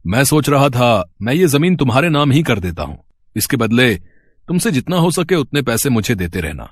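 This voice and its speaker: A man voicing a smooth-talking, two-faced village landowner in an acted storybook tale. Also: mid-range voice, big pitch swings, moderate pace, no room sound, voice pushed down. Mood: affable, generous.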